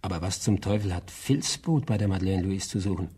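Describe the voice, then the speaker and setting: A dry coastal watchman from a crime radio drama. He talks laconically and colloquially in a low, dark, husky voice. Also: brisk. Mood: knowing. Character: dry, plain-spoken coastal watch man. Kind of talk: German crime radio play.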